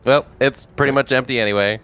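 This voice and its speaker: A man's voice: mid-range voice, quick pace, fairly bright tone, smooth, faint music behind. A man chatting casually as a player in a tabletop roleplaying game.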